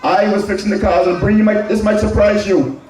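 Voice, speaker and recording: A man's voice: high-pitched, steady tone, brisk, darkish tone, some chatter behind, a little hiss or hum. A middle-aged man with an Anguillian accent giving an emphatic public speech, repeating key phrases for effect. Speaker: public speaker. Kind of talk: public address with personal reminiscence. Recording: very echoey, distant from the mic.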